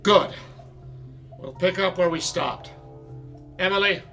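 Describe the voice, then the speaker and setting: A high-voiced, stern theatre director. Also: male voice, slow, bright, forceful tone, soft background music, full character voice. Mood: stern, exasperated. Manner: commanding, sarcastic. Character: stern, exasperated theatre director giving orders. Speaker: actor. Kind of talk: radio drama acting.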